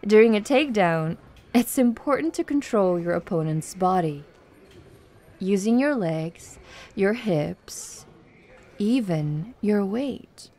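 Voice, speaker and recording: Actress for flirty, self-assured tomboy MMA trainer, ASMR roleplay. Lowish-energy breathy delivery, unhurried, gravelly fry, playful seduction. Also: female voice, lively intonation, warm tone. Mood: instructing, playful. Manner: slow, teasing, giggly, encouraging. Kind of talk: ASMR roleplay, personal address to listener.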